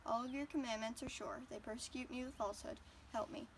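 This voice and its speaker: A woman's voice: fairly high pitch, steady tone, fast talker, slight background noise, rough recording. A woman reciting verses of scripture aloud. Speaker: reader.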